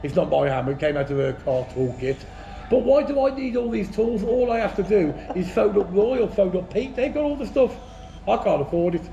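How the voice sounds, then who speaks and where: A man's voice: high voice, lively intonation, brisk, gravelly, mellow, echoey room, muffled recording. A man from the English Midlands hosting a casual chat, teasing his friends bluntly and laughing often. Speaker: channel host. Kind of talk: joking banter among friends.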